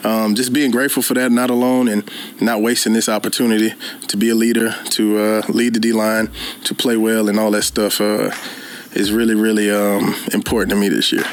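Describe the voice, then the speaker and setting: Easygoing football player in an interview. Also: male voice, lowish voice, flat delivery, a little fast, a little hiss or hum. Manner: easygoing, filler-heavy and earnest. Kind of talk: relaxed interview answers.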